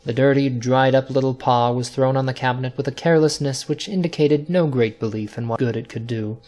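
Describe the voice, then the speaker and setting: A man narrating a scripted ghost story in a deliberate, suspenseful, dramatic style. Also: mid-range voice, fairly even intonation, a little mellow.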